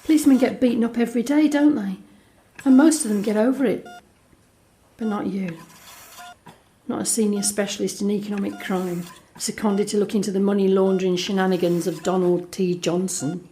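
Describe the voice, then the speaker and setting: Mellow young woman confiding as an actress plays her. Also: mid-range voice, steady tone, fairly quick, lightly acted. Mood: tender, wistful. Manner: confiding, conversational storytelling that re-enacts dialogue. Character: Alex, young woman, guarded, frank, tender daughter confiding a secret. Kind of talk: dramatic first-person monologue in an audio drama.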